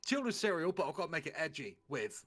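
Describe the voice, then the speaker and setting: A man's voice: fairly high pitch, sing-song, quick pace, fairly bright tone. A man reading fan fiction aloud in a comic way, with casual banter in between.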